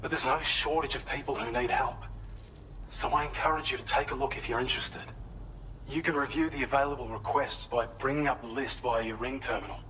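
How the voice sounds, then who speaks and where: Actor as a bright-voiced, earnest male facility researcher. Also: mid-range voice, fairly even intonation, slight background noise, lightly acted, poor audio. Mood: earnest, businesslike. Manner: polite, explanatory, measured. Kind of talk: scripted game briefing.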